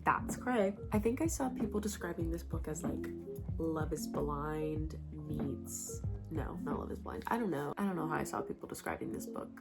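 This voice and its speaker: A young woman talks through her reading list in a loose, rambling way, with lots of "like" and quick laughs, her voice pitched low. She sounds a little stuffed up from a cold. Light music plays under her voice the whole time. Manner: chatty, filler-heavy, giggly asides. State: slightly congested from a cold. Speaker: book vlogger. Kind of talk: casual chat.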